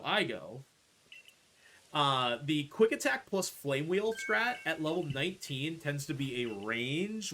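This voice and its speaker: A man casually commentating on his own live speedrun as he plays. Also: fairly high pitch. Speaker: speedrunner playing the game. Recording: game music behind the voice. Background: video game music.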